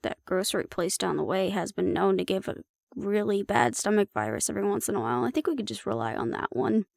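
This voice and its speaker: A woman's voice: mid-range voice, animated, quick pace, gravelly, darkish tone, no room sound. A woman acting in an audio roleplay as a sardonic, nervous young woman who mutters dry asides to her mate. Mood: nervous, wry.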